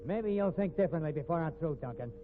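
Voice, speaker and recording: An actor playing a gruff, stubborn, irritable police detective, blunt and slangy, in 1950s radio drama. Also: male voice, on the high side, expressive, fast talker, close to an everyday voice. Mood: dogged, accusing. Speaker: police investigator. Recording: tinny, noisy vintage radio recording.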